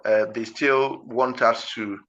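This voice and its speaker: Ghanaian male tutor, deliberate word-by-word delivery, bright tone, muffled low-fi recording. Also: fairly even intonation. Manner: slow, deliberate, word-by-word delivery with frequent fillers. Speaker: IELTS teacher. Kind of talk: explanatory teaching talk.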